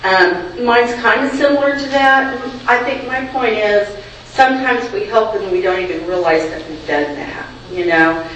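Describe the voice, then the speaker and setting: A clinic screener with a naturally loud voice, telling a chatty story at a group meeting. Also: mid-range voice, moderate pace, a little hiss or hum. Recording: echoey room, far from mic, dull.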